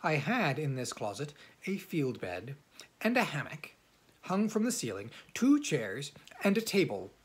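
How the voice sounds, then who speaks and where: A man reading an old classic novel aloud as an amateur audiobook narrator, in a measured, formal storytelling manner with a husky voice. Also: on the high side, wildly swooping pitch.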